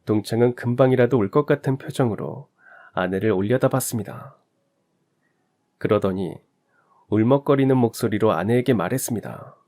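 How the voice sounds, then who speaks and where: A man narrating a first-person family story in a calm, even storytelling manner. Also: mid-range voice, moderate pace.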